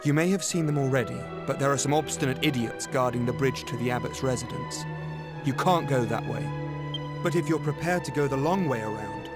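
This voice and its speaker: A man's voice: mid-range voice, a little fast, natural voice. A man playing a suave, roguish young trickster in a video game, self-assured. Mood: urgent, grave. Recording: loud music behind. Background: loud game music.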